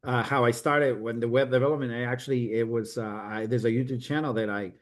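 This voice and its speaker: A man's voice: mid-range voice, fairly even intonation, brisk. A middle-aged American man, a guest on a podcast, chatting casually in a rambling way with many restarts and repeated words. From United States. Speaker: guest, web developer. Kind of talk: casual interview chat.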